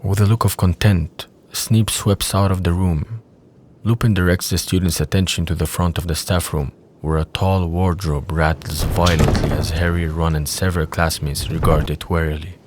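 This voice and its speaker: A man's voice: deep voice, steady tone, normal speed, a little mellow, a little hiss or hum. A man reading scripted third-person narration for an audio drama.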